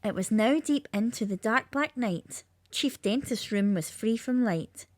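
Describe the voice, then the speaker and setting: A woman's voice: fairly high pitch, animated, a little slow, dry recording. A woman with a lilting, sing-song delivery, reading a rhyming children's story aloud.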